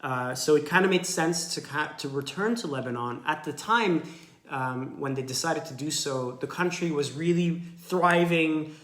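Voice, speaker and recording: A man in his thirties from Canada, chatting casually and speaking haltingly, with many fillers and repeated words. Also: a little high, a bit bright. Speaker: co-host of a live stream. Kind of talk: casual chat. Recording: echoey room.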